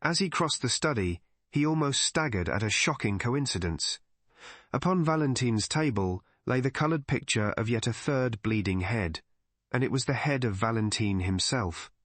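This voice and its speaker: Measured male audiobook narrator, dry close sound. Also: medium pitch, animated, a bit bright, dry recording. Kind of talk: scripted literary narration of a mystery story.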